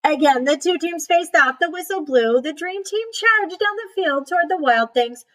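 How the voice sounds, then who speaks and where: A woman's voice: extremely high pitch, expressive, moderate pace, a bit bright. A woman storyteller reading a children's picture book aloud, with playful emphasis on sound words. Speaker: read-aloud storyteller, teacher.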